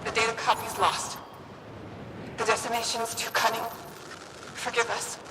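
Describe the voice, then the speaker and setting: A woman's voice: fairly high pitch, flat delivery, moderate pace, close to an everyday voice. A woman playing a defeated starship officer leaving a final recorded log in a video game. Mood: despairing. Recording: echoey, reverberant, noisy damaged log playback.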